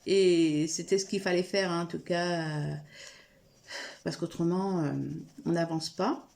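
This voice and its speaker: A woman rambles casually to camera from a room at home, stopping and restarting her sentences. Her voice sits a little low and has a gravelly fry, and she sounds slightly stuffy from a cold. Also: steady tone, a little slow, darkish tone. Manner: rambling, many fillers and restarts. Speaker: coloring vlogger.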